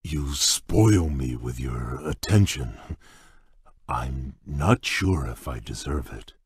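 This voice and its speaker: Deep husky gentle beast prince. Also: male voice, animated, takes it slowly, no echo, clean studio sound, full character voice. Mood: courteous. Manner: courteous, formal, gently earnest. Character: gentle cursed beast prince, large, shy, polite. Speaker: game character. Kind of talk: video game voice lines. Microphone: dry close studio mic.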